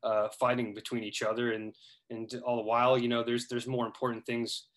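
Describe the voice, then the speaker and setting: A man's voice: mid-range voice, little pitch movement, fairly quick. A man in his thirties from Ohio, a guest in a casual interview, talking earnestly with lots of hesitations. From Ohio, United States. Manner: earnest, hesitant, many fillers and restarts.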